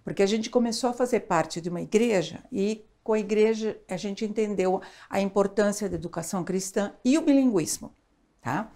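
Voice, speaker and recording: Older woman, lapel mic, small studio, lively gesturing guest. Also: mid-range voice, fairly quick. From Argentina. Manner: animated, gestures a lot, tag questions. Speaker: guest, child education expert. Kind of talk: casual interview chat. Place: small studio, seated on a sofa.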